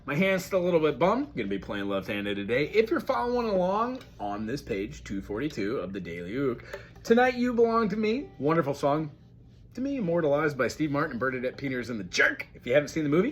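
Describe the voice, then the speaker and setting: A man's voice: on the high side, big pitch swings, fast talker, slight background noise. A man teaching a casual ukulele lesson, talking through chords in a friendly, chatty way and singing along. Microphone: recorded alongside his own ukulele playing. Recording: ukulele loud under voice.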